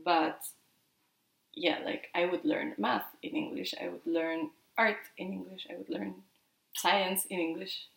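A woman's voice: fairly low pitch, fairly even intonation, unhurried, creaky, fairly bright tone, roomy sound, low-quality recording. A woman in her twenties from Peru, Spanish her first language, chatting casually as an interview guest and often tagging sentences with "no?". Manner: chatty, lots of "like" and tag "no?". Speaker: podcast guest.

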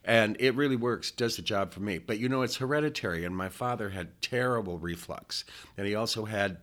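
A man's voice: lowish voice, a little fast, a bit bright. A man in his sixties telling his life story in an interview, rambling and wandering off the subject as he talks. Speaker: interview guest, musician. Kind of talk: casual reminiscing interview.

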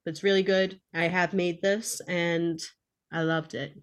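A woman with a slightly low voice for her sex co-hosts a casual podcast. She explains practical tips step by step in a plain-spoken, instructional manner. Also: fairly even intonation, unhurried. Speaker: podcast co-host.

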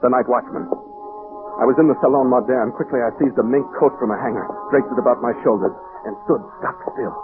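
A man's voice: mid-range voice, fast talker, natural voice. An actor playing an intense fugitive poet reading his secret diary as a precise, theatrical old-time radio monologue. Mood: unnerved, suspenseful. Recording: muffled old radio recording with music behind.

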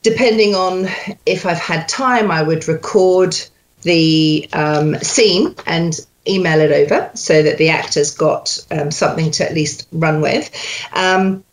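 Woman coaching accents, upbeat, walks you through it, lowish voice. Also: unhurried, rough recording. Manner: cheerful, explains step by step. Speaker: dialect coach. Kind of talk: coaching session.

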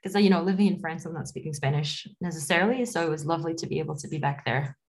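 A woman's voice: sits low, fast talker. A woman with a light Latin accent, a podcast studio CEO answering interview questions in a chatty way, laughing often and repeating words as she goes. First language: other than English.

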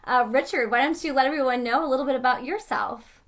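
A woman's voice: high-pitched, fast. A woman hosting an interview with a guest soloist, fluent with occasional fillers. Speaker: interview host.

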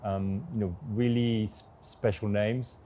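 A man's voice: on the low side, little pitch movement, unhurried, warm tone, a little hiss or hum. A man who runs a tennis tournament, answering interview questions hesitantly with lots of fillers. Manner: hesitant, many fillers and restarts.

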